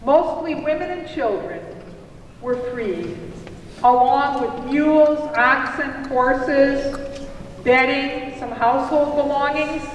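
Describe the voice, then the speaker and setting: A woman's voice: high voice, takes it slowly, a little hiss or hum. A woman narrating a puppet show, telling a story for children. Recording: echoey hall from far off, music.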